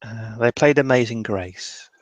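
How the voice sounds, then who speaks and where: A man playing a tabletop roleplaying game, improvising somber description in a halting way. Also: medium pitch, dry recording, dull audio.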